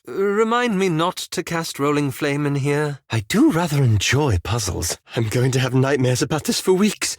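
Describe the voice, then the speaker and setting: An actor playing a wry, anxious, fastidious elf wizard in a video game, with a dry, polite and hesitant manner. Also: male voice, on the high side, dry recording. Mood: uneasy, wry.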